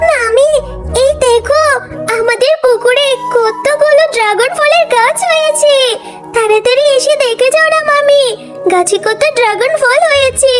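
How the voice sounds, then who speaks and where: A woman's voice: very high-pitched, a little slow, over loud music. A woman voicing a meek, childlike orphan girl fox with a pleading manner in a children's cartoon story. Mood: excited.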